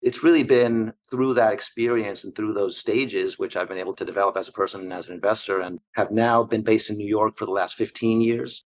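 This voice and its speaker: A man in his forties from Argentina, answering interview questions as an investor in long, measured, structured lists. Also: fairly low pitch, fairly even intonation, poor audio. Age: 40s. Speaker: investor guest.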